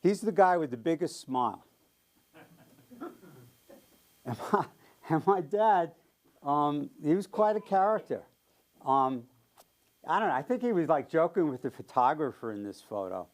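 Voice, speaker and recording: A man's voice: a little high, big pitch swings, moderate pace, a little mellow. A man in his seventies with a New York accent, giving a friendly, chatty talk as a guest speaker. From New York area. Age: 70s. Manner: friendly, chatty, frequent ums and light laughs. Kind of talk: casual public talk.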